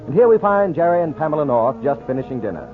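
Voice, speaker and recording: A man's voice: on the high side, big pitch swings, fast talker, phone-quality sound. A man with an American accent reading scripted narration as a 1940s radio announcer, polished and emphatic. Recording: thin 1940s radio recording, music behind.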